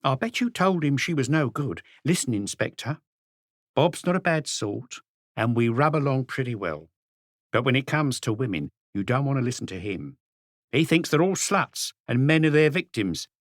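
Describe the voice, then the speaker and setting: A man's voice: mid-range voice, big pitch swings, moderate pace, dry recording, clean studio sound, pitched above the performer's own voice, close to an everyday voice. A man voicing a plump, gossipy middle-aged woman who runs a café, in an audiobook reading. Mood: indignant. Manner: chattering, scornful, indignant.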